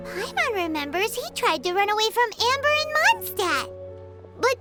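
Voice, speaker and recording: A woman voicing a tiny, excitable floating companion girl in a video game, who chirps and talks about herself by name. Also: very high-pitched, moderate pace. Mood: chirpy. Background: game music.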